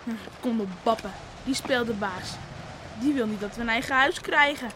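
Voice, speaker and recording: A woman acts out an eager child of about eleven from a fishing island. The voice is high, insistent and ready to argue. It comes through a noisy old radio play recording. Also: normal speed, natural voice. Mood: grumbling. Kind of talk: Dutch radio play acting.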